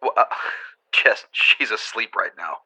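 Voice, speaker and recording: An actor in a radio drama playing a caring older brother who halts and stutters on the phone. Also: male voice, fairly low pitch, gravelly, sharp tone, breathy edge, full character voice, rough recording. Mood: gentle, awkward. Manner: halting, stuttering.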